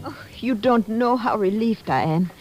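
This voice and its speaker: A woman's voice: fairly high pitch, animated, normal speed, loud music behind the voice, lightly acted, low-quality recording. An actress playing an earnest young woman confiding hesitantly in a 1940s radio drama. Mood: relieved, confiding.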